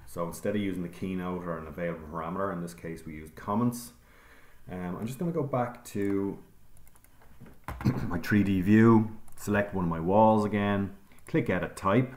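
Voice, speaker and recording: Deep-voiced man calmly explaining software steps. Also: normal speed. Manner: calm step-by-step explaining with frequent ums. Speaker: instructor. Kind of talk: software screencast tutorial.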